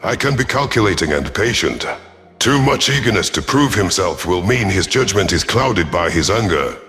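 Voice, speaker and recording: Low-voiced male sci-fi story narrator. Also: lively intonation, moderate pace, fairly bright tone, slightly rough. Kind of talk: dramatic scripted narration in first person. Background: faint music.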